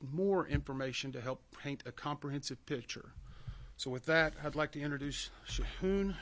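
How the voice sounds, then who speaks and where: A man's voice: mid-range voice, a bit bright. Man giving a formal official press briefing. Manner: measured, procedural, explanatory.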